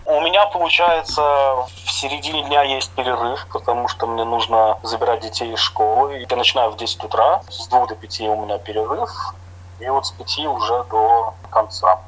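A man's voice: mid-range voice, fairly even intonation, poor audio. Middle-aged guy from latvia, radio interview guest, mid voice, easygoing, lots of little hedges. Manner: unhurried, plain-spoken, with soft hedging fillers.

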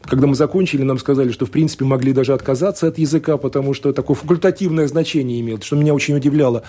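Male radio guest from Latvia, academic and politician, mellow husky voice, hesitant, fillers. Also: medium pitch, racing, soft background music. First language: Russian. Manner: thoughtful, hesitant, many fillers and restarts. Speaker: guest, law scholar and politician. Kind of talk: radio interview answers.

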